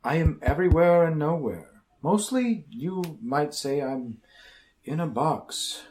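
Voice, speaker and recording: A man's voice: a little high, lively intonation, takes it slowly, a little mellow. A man playing an omnipotent, quirky supercomputer in a comic radio drama, speaking in pompous, convoluted and oddly formal sentences. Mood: quirky, grandiose.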